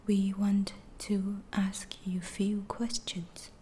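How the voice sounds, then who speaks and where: Softly whispering, slow-talking alien abductor played by a woman. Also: medium pitch, flat delivery, a little hiss or hum. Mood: calm, reassuring. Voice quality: soft, breathy whisper. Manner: slow, halting, reassuring. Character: calm, reassuring alien abductor examining a captive human. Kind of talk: ASMR roleplay.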